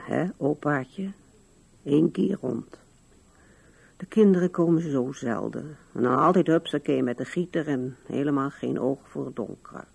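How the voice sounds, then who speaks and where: A woman's voice: very deep voice, a little mellow, full character voice. An older actress playing an old widow in a radio drama, musing to herself with resignation at her husband's grave. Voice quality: husky, creaky. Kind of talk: 1970s radio drama monologue.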